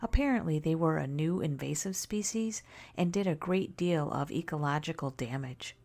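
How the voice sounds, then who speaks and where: A woman softly narrating a scary story in ASMR style, hushed and gentle, often slipping into a whisper. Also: low voice, moderate pace.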